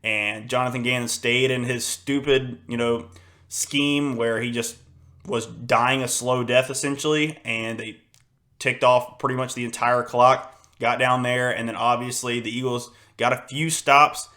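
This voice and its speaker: A hoarse young man talks through a game on his solo podcast, his voice worn thin from screaming. He rambles in a flat, monotone way, stacking fillers and restarts, and sounds frustrated. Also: medium pitch, measured pace. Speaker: podcast host. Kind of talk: casual solo sports podcast.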